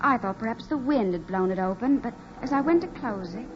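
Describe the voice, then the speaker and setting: A woman's voice: fairly high pitch, expressive, a little fast, close to an everyday voice. An actress playing a naive, earnest young farm woman, hesitant and adoring, in a 1940s radio drama. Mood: wistful narration. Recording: muffled 1940s radio recording with music.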